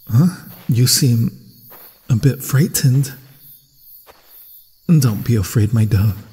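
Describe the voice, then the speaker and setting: A man playing a grieving, vengeful monster hunter who protects a stranger, speaking solemnly and haltingly in an ASMR fantasy horror roleplay, with a husky, breathy voice. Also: mid-range voice, mellow. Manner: solemn, dramatic, halting delivery with long pauses. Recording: long cavernous reverb, insect sounds.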